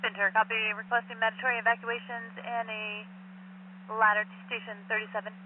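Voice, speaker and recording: A woman's voice: fairly high pitch, level tone, fast talker. A woman working as a fire dispatcher, relaying messages over two-way radio in a procedural, matter-of-fact way. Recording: tinny phone-like dispatch radio, faint noise.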